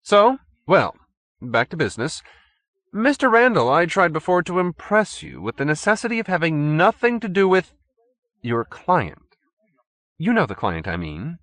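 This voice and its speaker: A man's voice: on the high side, sing-song, normal speed, fairly bright tone, no room sound, voice pushed up. A man voicing a haughty, urbane cult leader in an audiobook, pompous and sternly courteous. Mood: smooth menace. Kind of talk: audiobook character acting.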